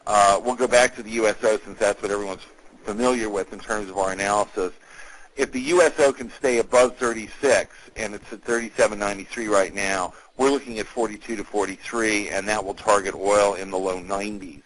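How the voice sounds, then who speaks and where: Low-voiced man giving market commentary. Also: fairly even intonation, brisk, a bit bright, rough recording. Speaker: market analyst hosting a conference call. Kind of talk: market commentary, semi-scripted briefing.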